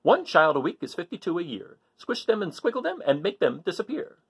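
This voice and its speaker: A man acts out a wicked storybook witch who plots against children. The delivery is lively and a little husky, full of scheming glee, with each word relished. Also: high voice, brisk, voice pushed up, exaggerated voice. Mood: gleeful. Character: gleefully murderous witch plotting against children.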